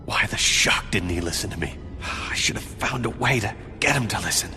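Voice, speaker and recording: A man's voice: on the low side, expressive, moderate pace, lightly acted. A man playing an intense young male superhero of the future, urgent and commanding, in a video game. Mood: worried. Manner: urgent, emphatic. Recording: loud music behind the voice.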